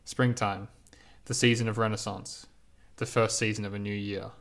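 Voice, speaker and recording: Male narrator, author reading his own essay aloud, measured and lyrical, lowish voice. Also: little pitch movement, gravelly, a bit bright. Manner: measured, lyrical, unhurried delivery.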